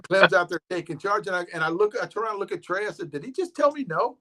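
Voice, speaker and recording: A man co-hosting a casual live-stream chat, telling stories in an animated way and acting out what others said. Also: high voice, fast talker. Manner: animated storyteller who acts out quotes.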